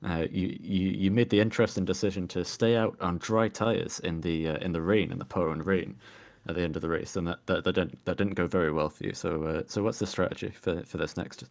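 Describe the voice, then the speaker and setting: A man's voice: low voice, steady tone, fairly quick, gravelly, few pauses. A man hosting an online racing league show, conducting joking post-race interviews over a voice call, often restarting his sentences. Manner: teasing, with many restarts.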